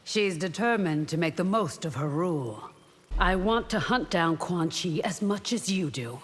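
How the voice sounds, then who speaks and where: An actress playing a proud, loyal and devout royal bodyguard in a video game, composed, formal and stern. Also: female voice, on the low side, lively intonation, a little slow, breathy edge. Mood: composed, firm. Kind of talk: video game character acting. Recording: long reverb with faint noise.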